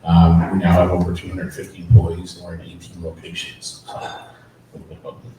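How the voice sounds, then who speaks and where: A man's voice: low voice, monotone, fairly quick, warm tone. A man, a credit union executive, speaking on a panel in an easygoing, lightly joking way with frequent uhs. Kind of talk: panel discussion. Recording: echoey room, distant mic, faint noise.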